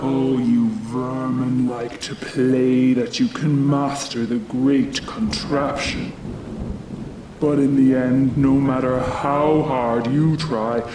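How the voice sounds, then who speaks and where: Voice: mid-range voice, flat delivery, reverberant, distant mic, crowd noise, lightly acted, rough recording. An actor playing an ancient, malevolent inhuman entity in a horror audio drama, with a mocking, pompous, theatrical manner. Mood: grandiose contempt. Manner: slow, taunting, pompous, theatrical.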